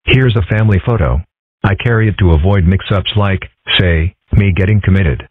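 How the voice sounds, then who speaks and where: A man voicing a mild, thoughtful, bookish schoolboy in a comic strip dub, measured, polite and dryly deadpan. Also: deep voice, breathy edge, no room sound. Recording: muffled, phone-like narrow sound.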